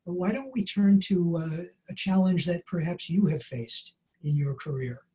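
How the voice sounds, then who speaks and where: An older man interviewing a guest on a video call from a book-lined study, asking questions with halting pauses. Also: high voice, dark tone, husky. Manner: halting, lots of uh fillers. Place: study lined with bookshelves, indoors. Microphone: video call, webcam.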